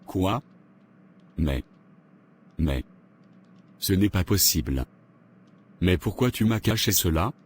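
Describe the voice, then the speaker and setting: A man's voice: sits low, expressive, slow pace, long pauses, a little hiss or hum. A man playing earnest devout father. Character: devout middle-aged father.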